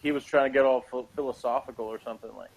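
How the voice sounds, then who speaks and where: A man's voice: medium pitch, fairly even intonation, very fast, poor audio. A man giving a casual review in conversation, blunt and dryly sarcastic, rambling as he talks. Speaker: guest reviewer of a TV episode.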